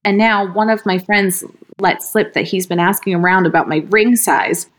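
A woman's voice: medium pitch, moderate pace. Woman reading a creepy story aloud. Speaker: podcast co-host reading stories. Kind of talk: horror story read aloud, then casual chat.

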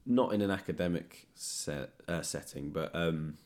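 A man's voice: sits low, unhurried, a little mellow. A man hosting a conversational interview, speaking tentatively and thoughtfully as he searches for the right words. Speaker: podcast host. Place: indoors, guest's home.

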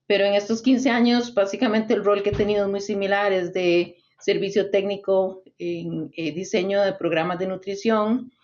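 A woman's voice: mid-range voice, steady tone, normal speed, hardly any pauses, darkish tone, muffled recording. A Costa Rican woman in her forties, a nutrition consultant answering interview questions thoughtfully, with frequent restarts and fillers. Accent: Costa Rican Spanish. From Costa Rica. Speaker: swine nutrition consultant, guest.

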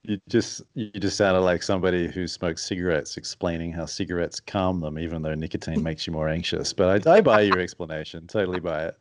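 Deep, mumbly man with a very low voice. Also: fairly quick, hardly any pauses, no room sound. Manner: quiet, introverted, reflective. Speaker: podcast guest, author and strategist. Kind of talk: casual interview chat.

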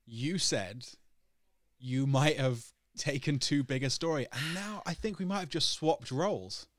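A man's voice: medium pitch, no echo. Joking young man, dry close mic. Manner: joking, laughs often, drawn-out explanations. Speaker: podcast co-host. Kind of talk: casual chat.

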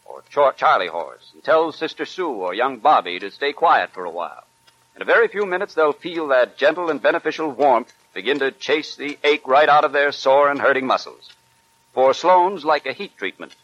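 Casting: male commercial announcer, medium pitch, scripted 1940s radio advertising style. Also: a bit bright, no echo, muffled recording.

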